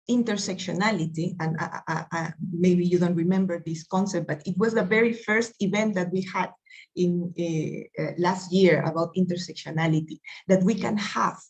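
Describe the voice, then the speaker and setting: A woman with a Peruvian Spanish accent leads a training session at a mid-range pitch, neither high nor low. She talks in an earnest, searching way, reworking her phrases and checking in with her listeners as she goes. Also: darkish tone, dull audio. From Peru. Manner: earnest, searching phrasing with tag questions like "right?". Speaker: workshop presenter. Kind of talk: online diversity training session.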